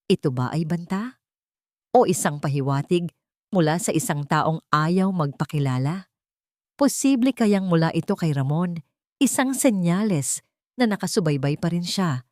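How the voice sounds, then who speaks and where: A woman narrates a scripted romantic drama. Her voice sits a little low. The recording is dry, with hardly any room sound. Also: big pitch swings, normal speed, pauses often, darkish tone, no echo. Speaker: story narrator.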